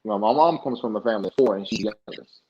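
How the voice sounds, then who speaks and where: Young male podcast guest, low and husky, animated storyteller, muffled lo-fi sound. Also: fast. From United States. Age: young adult. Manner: animated, acts out past dialogue in colloquial speech. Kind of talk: casual interview storytelling. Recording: dull lo-fi podcast audio.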